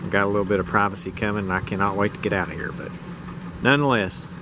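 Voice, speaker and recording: A man's voice: fairly low pitch, fast, noisy background. A man with an American accent chatting casually in a folksy, plain-spoken way as he gives an amateur show-and-tell. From rural United States. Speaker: vlogger showing his truck radio setup.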